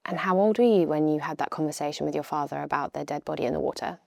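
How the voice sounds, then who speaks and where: Quick, deep, gravelly woman interviewer. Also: darkish tone, dry recording, studio quality. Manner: brisk, short off-camera questions.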